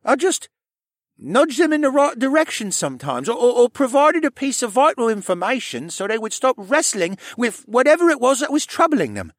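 A man voicing a shy, troubled young boy in an audiobook, earnest and halting in his speech. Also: very high voice, sing-song, no echo, clean studio sound, pitched above the performer's own voice, heavily acted. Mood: defensive, earnest. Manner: earnest, halting, stammering over words. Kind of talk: audiobook character voice.

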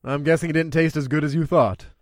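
A man's voice: a little high, fairly even intonation, quick pace, no room sound, studio quality, natural voice. A man voicing an easygoing, teasing dragon-riding captain in an audiobook, in a casual delivery. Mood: bemused. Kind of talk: audiobook character acting.

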